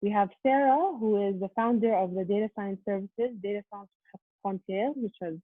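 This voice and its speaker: A woman giving a prepared, earnest presentation over a video call, steady with frequent um fillers. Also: mid-range voice, normal speed, dry recording. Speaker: conference presenter. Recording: tinny phone-like line.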